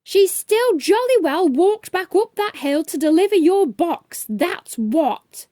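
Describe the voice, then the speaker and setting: A woman voicing a small young fortune cookie trainee in a children's storybook, squeaky, timid yet fiery. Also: expressive, slow pace, mellow, dry recording, studio quality, full character voice. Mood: indignant.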